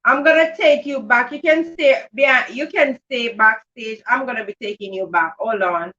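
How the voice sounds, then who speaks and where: A woman's voice: on the high side, moderate pace, bright, forceful tone. A woman hosting a children's online read-aloud session, chatting casually and praising encouragingly. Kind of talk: casual live video call chat. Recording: dull, distant online call audio.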